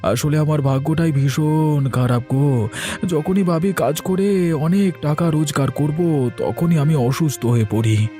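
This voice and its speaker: A man's voice: on the high side, measured pace, warm tone, faint music behind, lightly acted. A man playing a sickly, self-blaming poor husband in a cartoon, with a weary, plaintive, ailing delivery.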